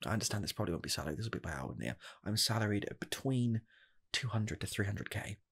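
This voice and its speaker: A man performs an earnest, nervous young scientist pitching himself in a comedic ASMR roleplay. His voice is low, very breathy and husky with a gravelly fry. He speaks softly and haltingly, doubling back on his phrases. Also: lively intonation, full character voice. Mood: awkward, hesitant. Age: 20s. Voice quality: soft, husky, gravelly, slipping into whispers. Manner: halting, stammering, repeats phrases. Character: eager, nervous rocket scientist applying for fast-food work. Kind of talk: comedic ASMR roleplay, improvised job interview.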